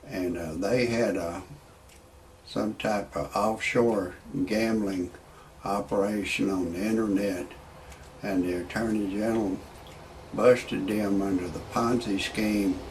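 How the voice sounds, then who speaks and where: A man's voice: lowish voice, fairly even intonation, slow, slight background noise, poor audio. A man in his sixties, talking in a rambling way, repeating words as he goes, first with opinions and then with stand-up jokes. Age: 60s. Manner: rambling, repeats words while searching for a point. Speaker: video blogger.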